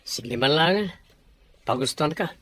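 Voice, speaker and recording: A woman's voice: extremely low pitch, a little slow, fairly bright tone, husky, no room sound, full character voice. A woman voicing a friendly young male office worker in an audio drama, casual and matter-of-fact. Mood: casual banter. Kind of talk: Ilocano radio-style drama acting.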